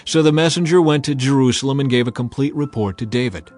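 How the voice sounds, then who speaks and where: A man giving a measured, solemn, dramatized narration of scripture as an audiobook reader. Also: mid-range voice, a little mellow. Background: music.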